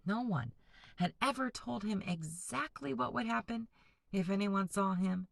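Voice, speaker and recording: A woman teacher reading a novel aloud to students, pausing to explain and ask them to picture things. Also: medium pitch, creaky, no echo. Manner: patient, explanatory, addresses students directly. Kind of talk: classroom read-aloud with discussion breaks.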